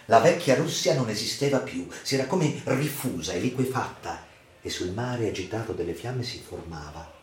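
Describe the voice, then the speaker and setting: A man reads a dramatic literary narration for radio theatre in a theatrical, emphatic, measured way. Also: on the low side, a little hiss or hum. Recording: roomy echo with music underneath. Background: music.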